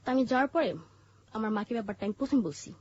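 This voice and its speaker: A woman with a Bangladeshi accent and a fairly high, husky voice recounts her own life. She speaks haltingly, pausing and repeating phrases as an interview guest. The recording is slightly muffled and lo-fi. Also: a little mellow. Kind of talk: personal life-story narration in an interview. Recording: muffled, dull lo-fi audio.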